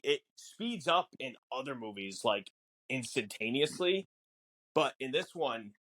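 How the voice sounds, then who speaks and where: A man co-hosting a casual film podcast, explaining things in a halting way with repeated words. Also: medium pitch, lively intonation, a bit bright, no echo, low-quality recording. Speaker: podcast co-host. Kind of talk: casual film discussion chat.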